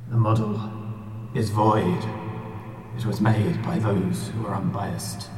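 A man's voice: lowish voice, fairly even intonation, slow pace, darkish tone, very echoey, in a large hall, recorded from a distance, soft background music. A man acting a ghostly chief of cave spirits in a comic pantomime, intoning ominously.

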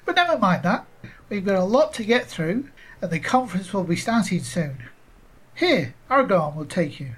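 A woman playing a weary, affectionate, fussing old hobbit with a husky voice in an amateur comic pantomime. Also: medium pitch, big pitch swings, moderate pace.

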